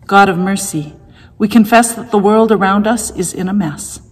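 A middle-aged woman leads worship from a room at home, her prayers calm and measured. Her voice is a little husky, and a long reverb trails after her words. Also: mid-range voice. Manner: calm, measured liturgical reading. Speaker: worship leader. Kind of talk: leading a church service.

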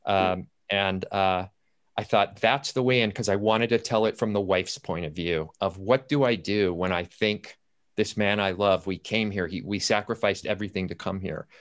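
Low-voiced man telling stories on a panel. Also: normal speed. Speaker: playwright on a discussion panel. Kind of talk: anecdotal panel talk about writing. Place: indoors at home, on a video call. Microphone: computer video-call audio.

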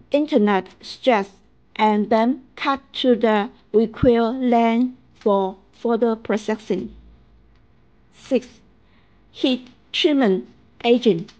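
High-voiced Chinese-accented woman presenting. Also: darkish tone, lo-fi sound. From China. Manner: halting reading, stumbling over and repeating phrases. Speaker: factory representative presenting products. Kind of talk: scripted promotional narration.

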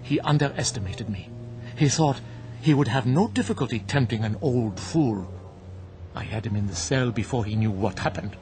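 An actor playing a grave old monk in a radio drama, speaking with solemn, deliberate, preacherly certainty. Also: male voice, medium pitch, lively intonation, a little mellow, slight background noise, close to an everyday voice. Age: older. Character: grave, zealous old monk, unshakably certain of his faith. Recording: music bed behind the voice.

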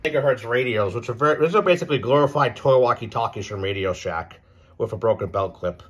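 Male hobbyist presenter, mid-range voice, unscripted and conversational, stop-start delivery with trailing phrases. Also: medium pitch. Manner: halting, repeats himself, trails off. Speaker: presenter of a radio hobby video. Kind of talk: casual unscripted explainer.

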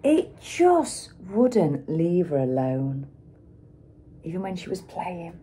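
Slow, very animated woman reading a storybook. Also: medium pitch, slightly rough. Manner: expressive, dramatic emphasis and pauses for young children. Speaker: schoolteacher reading aloud. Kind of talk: children's picture book storytelling.

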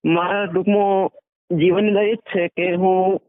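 A man's voice: high voice, level tone, a little slow, dry recording. A man, a singer and band owner talking casually in a phone interview. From Gujarat, India. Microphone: phone call. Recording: tinny narrowband phone line.

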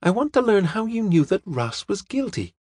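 A man's voice: sits high, big pitch swings, normal speed, a little mellow, no room sound, voice pushed up. A man voicing an eager, playful young American woman in an audiobook, with a breezy, informal way of talking. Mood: eager, puzzled.

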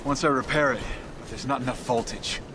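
An actor playing a tough, defiant survivor in a video game, blunt and streetwise. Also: male voice, mid-range voice, fairly even intonation, a little fast, background noise, close to an everyday voice. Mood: brisk. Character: tough, foul-mouthed, defiant male survivor and pilot.

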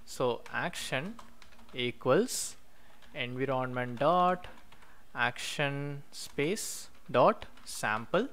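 Man, coding tutorial voice-over, smooth clear tone, keyboard clicks behind, step-by-step explaining. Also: medium pitch, lively intonation, a bit bright. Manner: explains step by step while typing code, many "uh" pauses. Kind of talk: screen-recorded coding walkthrough. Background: keyboard typing.

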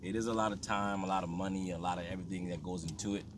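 A man with a lowish voice conducts a loose, rambling interview aboard an open motorboat. He is picked up from a distance by the mic. Wind, water and engine noise roar loudly behind him. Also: steady tone, racing. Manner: loose, rambling, quotes dogs and callers. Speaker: interviewer and dog breeder. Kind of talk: casual interview chat. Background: boat motor and water.

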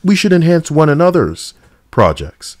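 A man's voice: fairly high pitch, sing-song, moderate pace, darkish tone, no room sound, clean studio sound. A man giving casual, blunt and sarcastic opinion commentary as a gaming video host, with an edgy streak. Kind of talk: casual opinion commentary over game footage.

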